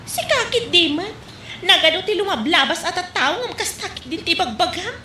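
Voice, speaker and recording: A woman playing a brash, shameless village vendor in a comedy drama, with a shrill, indignant delivery. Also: lively intonation, normal speed, sharp tone, full character voice. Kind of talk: Ilocano comedy drama acting. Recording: echoey, noisy room.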